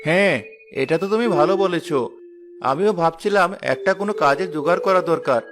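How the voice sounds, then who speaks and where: A man's voice: sits high, fairly quick, a bit bright, faint music behind. A man voicing a cheerful, grateful village husband and food seller in a cartoon story. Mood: grateful, eager. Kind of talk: Bengali cartoon story voice acting.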